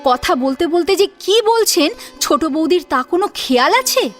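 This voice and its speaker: High, animated woman telling a story. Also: normal speed. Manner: expressive, rhetorical. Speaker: story narrator. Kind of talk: dramatic scripted audio-story narration. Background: music.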